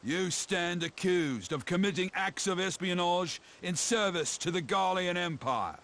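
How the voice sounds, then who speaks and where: High, officious arresting soldier. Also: male voice, measured pace, a bit bright. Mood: stern. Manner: declamatory, formal. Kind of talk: formal video game voice acting.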